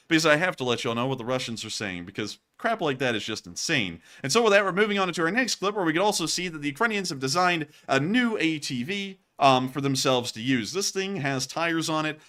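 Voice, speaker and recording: A man hosting a war news show, talking casually over video clips, speaking straight to his viewers and often sounding incredulous. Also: mid-range voice. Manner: animated, talks straight to viewers, incredulous asides.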